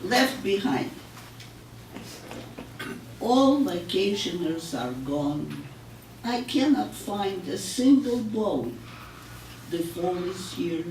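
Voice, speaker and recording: Slow older woman reading poetry, echoey room. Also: medium pitch, expressive, a little mellow. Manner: measured, solemn, deliberate delivery with pauses. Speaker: poet. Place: public library room, live reading. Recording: echoey room, distant mic, noisy.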